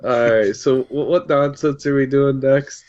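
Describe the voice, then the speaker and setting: A man's voice: medium pitch, fairly even intonation, measured pace, a little mellow. A man talking casually as a podcast co-host, recorded with slightly dull, lo-fi audio. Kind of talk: casual chat.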